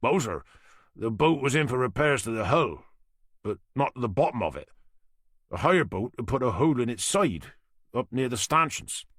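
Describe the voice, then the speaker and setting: A man's voice: mid-range voice, expressive, normal speed, fairly bright tone, dry recording, clean studio sound, pitched above the performer's own voice. A man voicing an older male boatyard worker with a thick Norfolk accent, deferential and calling everyone sir. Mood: respectful. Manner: deferential, says "sir" often.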